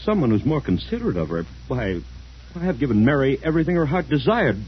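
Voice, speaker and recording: A man as an expressive well-off middle-aged husband. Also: medium pitch, quick pace, natural voice. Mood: stunned, dejected. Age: 40s. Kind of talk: old-time radio drama acting. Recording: muffled, hissy old radio recording.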